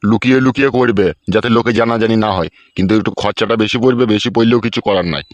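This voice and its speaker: A quick, close and dry cartoon voice, acted by a man: a greedy, bossy village uncle from a comedy. He scolds and explains, pressing the listener to keep up. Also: on the low side, dry recording, lightly acted. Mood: impatient, explaining. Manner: scolding, bossy, peppered with check-in questions. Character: scheming, foul-mouthed middle-aged village uncle, bossy and greedy. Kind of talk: Bengali comedy cartoon dubbing.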